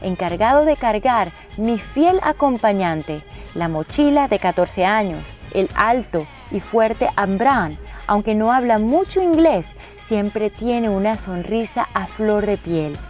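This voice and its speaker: A woman's voice: medium pitch, animated, takes it slowly, few pauses, a little mellow, faint music behind. A woman reading a scripted travel narration in the role of narrator and traveller.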